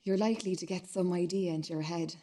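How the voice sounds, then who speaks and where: A woman acting a nagging younger sister in a radio drama. Her voice is lowish, soft-edged and husky, and she argues stubbornly, repeating her point. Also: fairly even intonation, quick pace, lightly acted. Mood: accusing. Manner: stubborn, repetitive, argumentative. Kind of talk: radio play dialogue.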